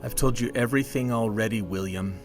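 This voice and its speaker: A man's voice: fairly low pitch, a little fast, over music, close to an everyday voice. An actor playing an anguished, paranoid middle-aged father in an audio drama, weary and insistent. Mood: weary, grieving.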